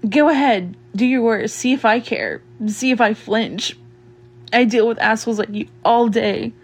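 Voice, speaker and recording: An actress performs a mouthy woman shop worker, cornered in an audio drama. Her voice sits very high for a woman. She speaks slowly in halting, broken phrases, swinging between bravado and fear. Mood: defiant, scornful. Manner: halting, stammering, repetitive pleading. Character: mouthy defiant woman shop worker turned frightened, pleading captive. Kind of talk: solo dramatic audio roleplay.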